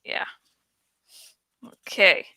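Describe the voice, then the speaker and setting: A woman's voice: very slow, bright, forceful tone, dry recording. A woman host chatting in a rambling, informal, giggly way about a book, swearing freely. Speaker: host of a book read-along channel.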